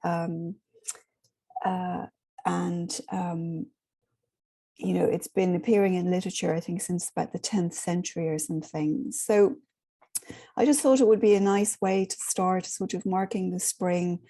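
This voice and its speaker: Hoarse lady on a video call, kinda low warm voice, lots of ums, easygoing. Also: fairly even intonation, a little slow. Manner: gentle, rambling, many ums. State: hoarse, sore throat. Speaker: spiritual workshop host. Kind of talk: casual talk to an online group.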